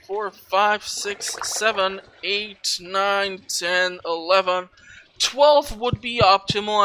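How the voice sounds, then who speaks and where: A person giving casual game walkthrough commentary as a video host, rambling and thinking aloud. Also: medium pitch. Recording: clearly noisy recording with clicks.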